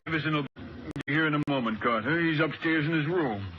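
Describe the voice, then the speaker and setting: Male actor as older estate administrator, 1940s radio drama. Formal, faintly fussy, higher-pitched, dull old recording. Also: fast, very noisy, lightly acted. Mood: courteous.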